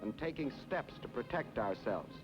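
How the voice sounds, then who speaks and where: A man's voice: medium pitch, big pitch swings, moderate pace. An American man narrating a wartime propaganda film in a grave, emphatic, declamatory 1940s newsreel style. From United States. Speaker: documentary narrator. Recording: dull 1940s film soundtrack. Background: orchestral music.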